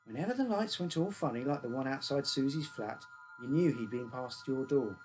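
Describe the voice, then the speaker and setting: A man voicing a knowing young girl from the council flats in a horror story, colloquial and slightly bitter. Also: mid-range voice, normal speed, soft background music, close to an everyday voice, rough recording. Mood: ominous, knowing. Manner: colloquial, matter-of-fact, knowing. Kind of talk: acted dialogue in a read-aloud horror story.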